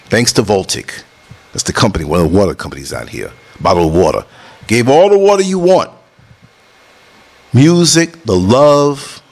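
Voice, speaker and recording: A man's voice: big pitch swings, normal speed, pauses often. Emphatic New man preaching unity. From New York City. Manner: emphatic, preachy, jumps between high and low tones. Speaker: vlogger and podcast host. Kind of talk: casual talk over concert footage.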